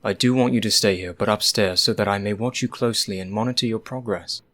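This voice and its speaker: An actor playing an earnest, devoted young Victorian doctor in a radio play, speaking formally. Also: male voice, on the low side, fairly even intonation, fast, a bit bright, lightly acted. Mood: concerned.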